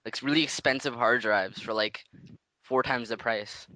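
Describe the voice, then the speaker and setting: Bright-voiced man, naive prank caller. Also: mid-range voice, normal speed, no echo, rough recording. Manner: persistent, feigned naive questioning. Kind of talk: casual phone prank chat.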